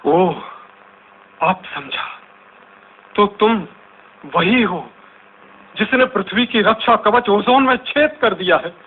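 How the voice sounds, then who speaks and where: A man's voice: high-pitched, expressive, slow, pauses often, breathy edge, heavily acted. An actor playing a frightened, astonished man in a radio drama, stammering and repeating his words in exclamation. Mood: shocked, dismayed. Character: frightened, astonished human man facing terrible apparitions. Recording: tinny phone-like audio with music.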